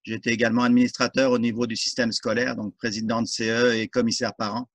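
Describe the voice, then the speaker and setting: Referee, measured and orderly. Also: male voice, medium pitch, level tone, a bit bright, dry recording. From France. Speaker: guest, soccer referee and volunteer. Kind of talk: interview answers.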